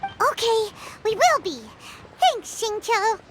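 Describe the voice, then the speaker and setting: A woman voicing a small, childlike fairy companion in an anime-style game, excitable, chatty and squeaky. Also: slow, noisy background, heavily acted. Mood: excited, unsure. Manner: exclamatory, refers to herself in third person.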